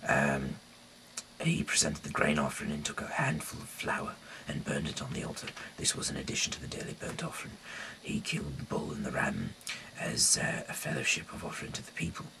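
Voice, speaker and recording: A man with an extremely low, gravelly voice reads scripture aloud over some background noise. His delivery is halting, and he trips over words and doubles back on phrases as he narrates. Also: wildly swooping pitch, sharp tone, poor audio. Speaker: host reading scripture aloud. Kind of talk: read-aloud Bible narration with casual slips.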